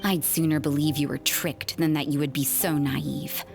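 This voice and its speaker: A stern, sardonic nun from an anime fantasy game. Her voice sits low, and she speaks with cool, deliberate suspicion and a dry edge, with music underneath. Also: little pitch movement, vocal fry. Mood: suspicious, accusing. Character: stern, wary, world-weary sardonic nun investigator, adult woman.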